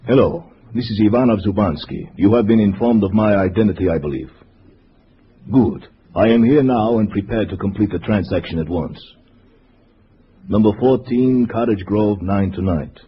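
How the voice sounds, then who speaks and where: A man's voice: on the low side, moderate pace, lightly acted. A man playing a formal, suspicious veteran Soviet party agent in a 1950s radio drama, stiff and commanding in his phrasing. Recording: dull, faintly noisy old radio recording.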